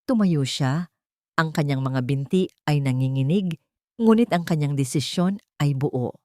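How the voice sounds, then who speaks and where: A woman narrating a scripted audiobook drama in a measured, emotional way. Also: sits low, darkish tone.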